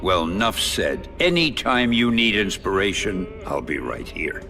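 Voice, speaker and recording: A cheerful older comic shop owner with an enthusiastic, folksy manner. His voice is low and gravelly but lively, with faint game music behind. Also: measured pace, heavily acted. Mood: friendly. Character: friendly older male comic store owner. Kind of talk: video game cutscene acting.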